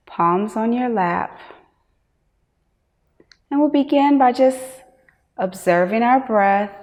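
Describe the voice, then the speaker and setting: A woman teacher guiding a meditation, giving measured instructions with long pauses. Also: medium pitch, darkish tone. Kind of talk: guided meditation. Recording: long hall-like reverb.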